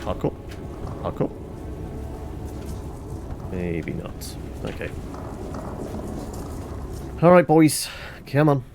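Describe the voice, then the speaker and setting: A man's voice: mid-range voice, big pitch swings, very slow, gravelly, long pauses, darkish tone, a little hiss or hum. A man giving casual, chatty video game commentary, playfully thinking aloud to himself.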